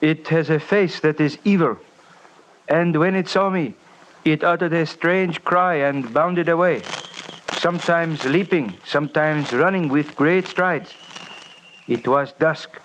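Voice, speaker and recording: Grave earnest sherpa guide, higher voice, formal wording, old radio show, bit noisy. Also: male voice, fairly bright tone. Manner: formal, measured, stilted phrasing. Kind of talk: old-time radio drama acting.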